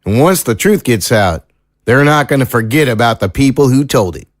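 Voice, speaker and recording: An actor playing an earnest, troubled small-town sheriff in an audio drama, speaking in a folksy, sincere, measured way. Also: male voice, mid-range voice, animated, no echo, clean studio sound, lightly acted. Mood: earnest, resolute.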